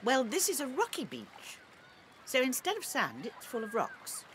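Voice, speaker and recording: A woman voicing a kindly elderly grandmother pig in a children's game, talking to children in an expressive sing-song. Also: high-pitched, moderate pace, noisy background. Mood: gently explaining. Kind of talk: children's game character dialogue.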